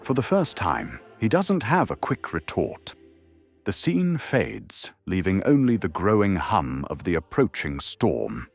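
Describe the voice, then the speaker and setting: A man's voice: sits low, wildly swooping pitch, a little slow, creaky, slightly rough. A man delivering dramatic scripted narration for an audio drama. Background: faint music.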